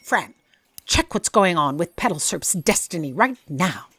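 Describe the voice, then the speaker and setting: A woman's voice: fairly low pitch, very animated, a little slow, noisy background. A woman voicing a skeptical, dryly commanding woman in charge.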